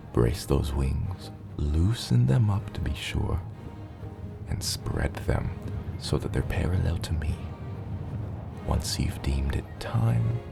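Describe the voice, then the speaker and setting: A man playing a gentle giant father dragon patiently teaching his hatchling in a hushed, reassuring ASMR roleplay, his voice breathy and gravelly. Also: full character voice. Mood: warm, encouraging. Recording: loud low rumbling noise.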